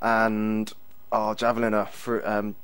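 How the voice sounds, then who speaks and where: A man's voice: on the low side, completely flat delivery, slow pace, fairly bright tone, smooth, no echo. A young man with a Milton Keynes accent, telling stories in a laid-back, sweary casual chat. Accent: English, Milton Keynes.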